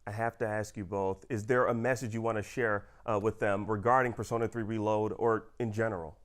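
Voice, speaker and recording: A man's voice: fairly low pitch, fairly even intonation, fairly quick. A man hosting a gaming interview as the interviewer, polite and presenterly.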